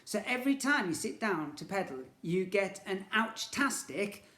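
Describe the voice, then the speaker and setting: A man reading a children's story aloud in an expressive, theatrical way, with emphatic delivery. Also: sits high, normal speed. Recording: echoey room.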